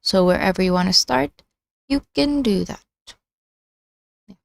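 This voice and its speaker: A woman hosting a casual livestream, chatting in a halting way as she thinks out loud. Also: medium pitch, animated, creaky, no echo. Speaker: livestream host.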